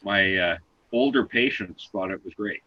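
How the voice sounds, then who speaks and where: Man co-host, loud, patient explainer, lowish voice, dry muffled home mic. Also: normal speed, dry recording. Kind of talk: online talk show discussion.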